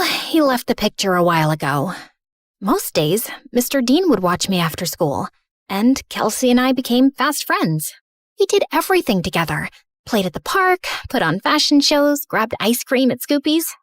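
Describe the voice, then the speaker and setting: Woman as high, animated teenage girl narrator. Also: no echo, studio quality. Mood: wistful. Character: earnest, expressive teenage girl telling her story. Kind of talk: dramatic first-person scripted narration.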